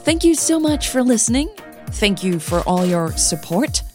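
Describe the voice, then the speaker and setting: A woman narrating audio fiction as the host, in a measured, deliberate storytelling manner. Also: on the high side, big pitch swings, darkish tone, soft background music.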